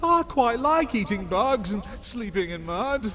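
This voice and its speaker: A man's voice: very high-pitched, sing-song, measured pace, fairly bright tone, heavily acted. A man voicing a timid, cowering, traumatized god in hiding, fearful and hesitant, in a dramatic comic book reading. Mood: terrified.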